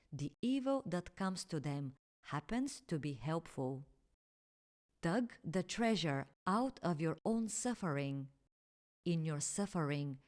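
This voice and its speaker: A woman reading spiritual teachings aloud in a measured, solemn way, dropping low on each "my friend.". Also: a little mellow. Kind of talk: slow recited spiritual address.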